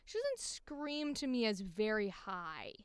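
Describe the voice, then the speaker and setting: A woman voice actor chatting casually on a livestream, thinking aloud in an informal, animated way, close to the microphone. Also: mid-range voice, slow, clear, resonant tone, no echo. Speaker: voice actor recording auditions.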